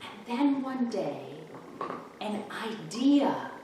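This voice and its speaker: Slow, echoey woman reading a storybook. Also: medium pitch. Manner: deliberate, expressive storytelling in first person. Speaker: storybook reader. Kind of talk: children's picture book read-aloud. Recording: echoey, distant mic, faint room noise.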